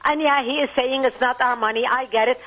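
A woman's voice: sits high, steady tone, brisk. A woman from Lebanon, a commentator arguing emphatically in a radio interview over a phone line. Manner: emphatic, argumentative, rising to outbursts. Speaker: political commentator guest.

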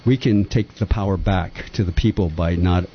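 A man's voice: moderate pace, a little hiss or hum. An older man who was born in Japan, talking earnestly and at length with rambling, impassioned opinions on a radio-style talk show. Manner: earnest, rambling, lively pitch swings. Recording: dull lo-fi sound.

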